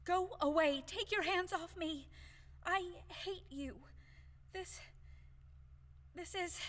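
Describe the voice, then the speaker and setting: A woman's voice: extremely high pitch, slow pace, fairly bright tone, heavily acted. A woman acting a proud, fiery, indignant lady in an audio play, imperious and melodramatic. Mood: wavering, angry. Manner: imperious, melodramatic, contradicting herself. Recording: long hall reverb.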